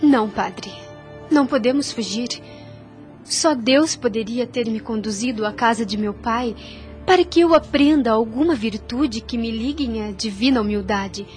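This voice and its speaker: A woman's voice: on the high side, normal speed, close to an everyday voice. A woman playing a devout, self-sacrificing young woman in a radio soap opera, with earnest, formal, literary delivery. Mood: resolute, pious. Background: music under the voice.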